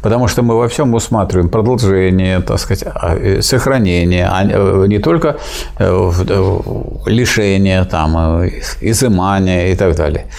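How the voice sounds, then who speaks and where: An older man discussing philosophy in a relaxed academic conversation, teaching with questions and frequent fillers. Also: low-pitched, normal speed, gravelly. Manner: didactic, questioning, with many fillers and restarts.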